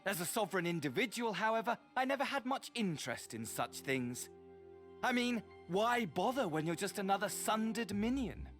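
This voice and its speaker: A man's voice: sits high, sing-song, normal speed, fairly bright tone. An actor playing a gleefully nihilistic villain mastermind in a video game, mocking and grandiose like a mad showman. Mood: smug, theatrical. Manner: grandiose showman, swinging from mocking courtesy to mania. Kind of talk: theatrical video game voice acting. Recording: game audio with music behind.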